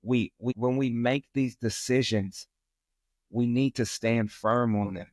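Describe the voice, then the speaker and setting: A man who is a guest on a podcast speaks with firm emphasis, hammering home his points like a preacher. His pitch is middling. The sound is close and dry. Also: measured pace, darkish tone, no echo. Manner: emphatic, preachy, repeats catchphrases. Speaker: guest, real estate investor. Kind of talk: casual podcast conversation.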